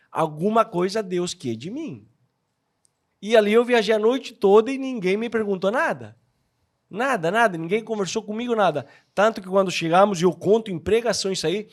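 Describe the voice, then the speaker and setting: Higher-voiced Argentine man telling stories. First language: Spanish. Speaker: podcast guest. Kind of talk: personal testimony storytelling.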